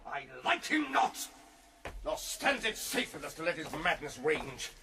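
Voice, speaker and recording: An actor playing a scheming, guilt-ridden usurper king in a Shakespearean radio drama, grave and declamatory in a husky voice. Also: a little high, very animated, measured pace, noisy background. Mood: wary, commanding.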